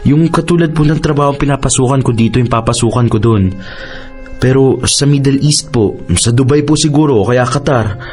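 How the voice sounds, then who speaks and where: A man's voice: medium pitch, a little fast, a little mellow, close to an everyday voice. Man acting an apologetic Filipino younger brother, music underneath. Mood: secretive, pleading. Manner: deferential, hesitant, pleading. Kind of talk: Tagalog radio drama acting.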